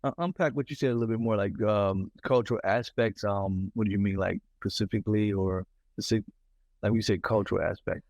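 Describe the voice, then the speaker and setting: A man from Mississippi, telling stories in an animated casual conversation and often repeating himself. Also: lowish voice, a little fast, no echo, lo-fi sound. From Mississippi, United States. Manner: animated storyteller, repeats words, says "you know what I'm saying". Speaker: podcast host.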